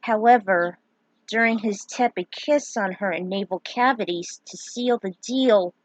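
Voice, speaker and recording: A woman's voice: medium pitch, unhurried, no room sound, poor audio. A woman reading a fan fiction story aloud, dramatic in her telling and given to opinionated asides. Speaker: narrator.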